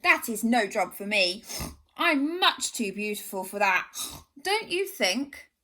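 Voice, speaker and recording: A woman's voice: medium pitch, sing-song, slow pace, sharp tone, exaggerated voice. A woman voicing a vain, pompous male warthog in a storybook, grand and self-admiring.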